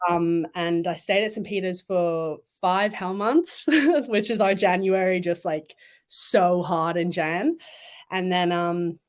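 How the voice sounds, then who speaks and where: A woman's voice: fairly low pitch, slow. A young woman from Thailand and Malaysia, chatting casually as a guest in an interview, with lots of fillers. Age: young adult. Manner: chatty, relaxed, many fillers and "like"s. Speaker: guest swimmer.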